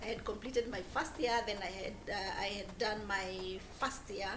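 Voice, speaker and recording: A woman in her forties from Kenya, telling her story as a guest in an interview, pausing and restarting her phrases often. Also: mid-range voice, roomy sound, noisy background. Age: 40s. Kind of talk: conversational interview.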